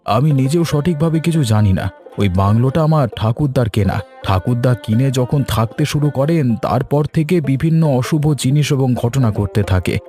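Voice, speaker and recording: A man voicing a cautious, worried young man in an animated horror story, hesitant in manner. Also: medium pitch, moderate pace, soft background music, close to an everyday voice. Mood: reluctant, uneasy. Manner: hesitant, uneasy.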